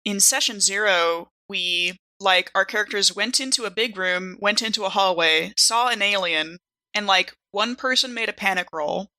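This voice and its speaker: A woman's voice: mid-range voice, moderate pace, sharp tone, no echo, low-quality recording. A woman chatting casually and informally as a player on a podcast, sprinkling her speech with filler words. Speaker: podcast cast member and player. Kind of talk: casual chat.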